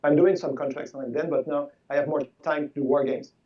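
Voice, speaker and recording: Sixty-year-old man with French first language. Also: fairly high pitch. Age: 60s. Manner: halting, repeats words, relaxed. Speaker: game designer guest. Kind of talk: casual interview chat. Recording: distant, dull lo-fi sound.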